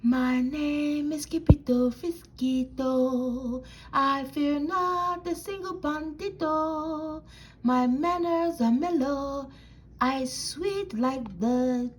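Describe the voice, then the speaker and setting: A woman's voice: high-pitched, pitched above the performer's own voice. A woman voicing a playful, dramatic little boy Siamese kitten from a storybook, with theatrical, sing-song exclamations.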